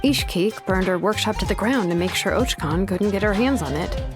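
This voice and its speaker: A woman plays a laid-back young craftswoman in a video game. Her mid-pitched voice explains things casually and knowledgeably, with faint music behind it. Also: animated, moderate pace, close to an everyday voice. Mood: wistful.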